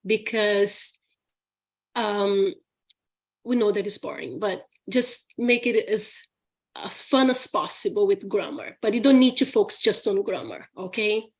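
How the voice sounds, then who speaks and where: Brazilian woman teaching English, unhurried. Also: mid-range voice, slightly rough. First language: Portuguese. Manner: chatty, laughs often, many restarts and "okay?" tags. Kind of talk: live Q&A session.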